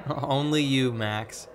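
A woman's voice: extremely low pitch, extremely slow, slight background noise, heavily acted. A woman playing a blunt, wry, somewhat awkward teenage friend in a naturalistic audio drama. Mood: teasing, apologetic. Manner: stammering when flustered, casual, wry.

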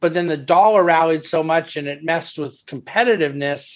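A man's voice: on the high side, brisk. A man speaking as a market commentator on a conference call, thoughtful and hedging, often restarting his sentences. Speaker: investment firm head and market commentator. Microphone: phone or conference call line.

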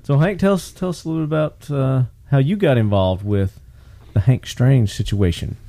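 A man's voice: medium pitch, moderate pace, warm tone. A man hosting a casual podcast conversation, chatty and asking his guests questions. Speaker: podcast host. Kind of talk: casual interview chat.

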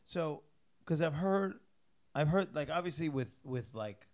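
A man's voice: on the high side, expressive, a little slow, a little mellow. A man hosting a podcast interview, talking in a loose, rambling way with many restarts. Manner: rambling, restarts, swears casually. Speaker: podcast host. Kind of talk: casual interview chat.

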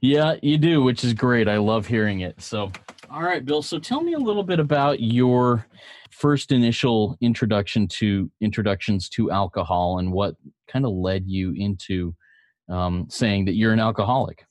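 Low-voiced, mellow male podcast host. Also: normal speed. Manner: earnest, encouraging. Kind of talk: scripted podcast intro, then casual interview.

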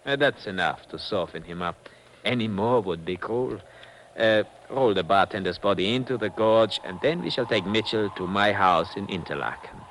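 A sadistic gentleman villain of old radio drama, suave and mockingly polite. His pitch swings widely as he directs his henchman. The vintage recording is clearly noisy. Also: animated. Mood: smug, mock-polite. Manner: suave, unhurried, mockingly courteous. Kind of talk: 1950s radio drama acting.

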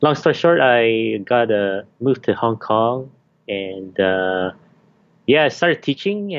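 A man who was born in Malaysia and raised in Canada, a university teaching fellow answering interview questions in a hesitant, halting way. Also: medium pitch, lo-fi sound. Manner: hesitant, many fillers and restarts.

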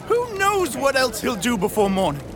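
A woman's voice: a little high, wildly swooping pitch, moderate pace, music in the background. A woman playing an indignant, rabble-rousing townsperson in an audio drama, agitated and exclamatory. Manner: agitated, loud-mouthed, exclamatory. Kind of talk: audio fiction drama acting.

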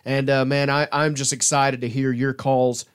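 A man's voice: medium pitch, little pitch movement, normal speed, clear, resonant tone. Jokey male sports podcast host. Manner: jokey, self-deprecating, colloquial. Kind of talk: casual sports chat.

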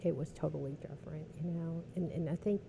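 A woman in her thirties from Vietnam, answering interview questions in a soft, hesitant way with many pauses. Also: low-pitched, steady tone, creaky. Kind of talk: casual interview talk. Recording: background noise.

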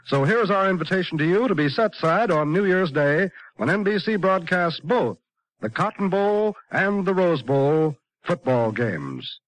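Higher-pitched American male radio announcer. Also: sing-song, unhurried, dry recording, poor audio. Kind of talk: 1950s scripted network radio announcing. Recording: old radio broadcast, dry studio.

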